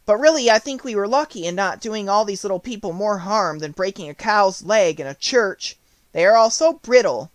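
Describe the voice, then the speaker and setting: A man voicing a gentle, considerate young girl with an earnest, mild manner in a storybook. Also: high-pitched, expressive, normal speed, no echo, pitched above the performer's own voice. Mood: concerned.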